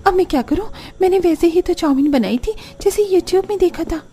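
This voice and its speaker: A woman acts out a stubborn young daughter-in-law in a family cartoon. The voice sits very high yet has a soft, mellow tone. The delivery is dramatic and emphatic, that of a jealous, boastful woman who talks to herself. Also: expressive, brisk. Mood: flustered. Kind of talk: Hindi cartoon story dialogue.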